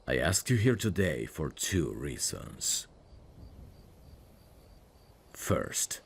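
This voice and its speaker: A man playing a brooding dark fantasy prince in an intimate ASMR roleplay, tender and lovesick, with a husky, gravelly voice and a hushed, formal delivery. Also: a bass voice, heavily acted. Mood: earnest, pleading. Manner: slow, hushed, formal and halting. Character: brooding evil prince, tender and lovesick toward old friend. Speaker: voice actor.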